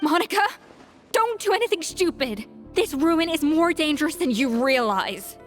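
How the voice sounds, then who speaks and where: An earnest, proud young game heroine with an emphatic way of speaking. Her voice is high and very expressive, with music clearly behind it. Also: normal speed. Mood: urgent warning. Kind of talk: video game voice acting.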